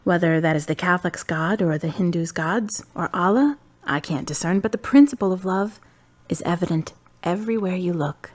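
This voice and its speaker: An actress playing a calm, wise young woman in her twenties from the United States, who talks bluntly and teases dryly. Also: on the low side, normal speed, natural voice. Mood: firm. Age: 20s. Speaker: friend and love interest. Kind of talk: amateur web drama acting.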